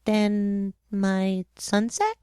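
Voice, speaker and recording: A woman's voice: medium pitch, steady tone, very slow, warm tone, clean tone, no room sound, studio quality. A woman voicing a polite, curious young boy prince in a storybook dramatization, speaking in an earnest, childlike way. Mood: puzzled, questioning. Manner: earnest, childlike, questioning delivery.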